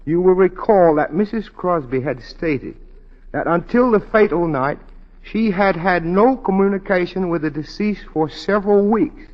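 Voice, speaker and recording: An actor playing a discreet, obsequiously polite confidential clerk with formal, measured speech in a 1940s radio drama. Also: male voice, high voice, natural voice. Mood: deferential, measured. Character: discreet, deferential male confidential clerk, quietly cunning. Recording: muffled 1940s radio recording.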